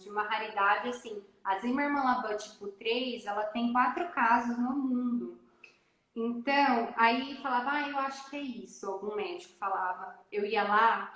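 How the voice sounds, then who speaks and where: A woman talking about her daughter, telling her story in an emotional, animated way on a casual live chat. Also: on the high side. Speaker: guest mother. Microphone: phone or laptop on a live video call. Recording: echoey room.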